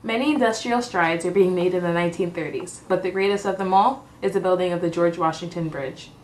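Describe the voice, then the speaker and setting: A woman's voice: fairly low pitch, a little fast. A woman reading a scripted amateur news report as a reporter. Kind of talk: scripted amateur mock newscast. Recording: echoey room, distant mic, faint noise.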